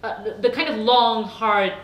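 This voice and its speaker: A woman's voice: fairly high pitch, fairly even intonation, normal speed, dull audio, slight background noise. A woman on a festival panel, starting hesitantly, then speaking carefully and with thought. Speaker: panelist, novelist. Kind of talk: panel talk. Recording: echoey room, faint ambience.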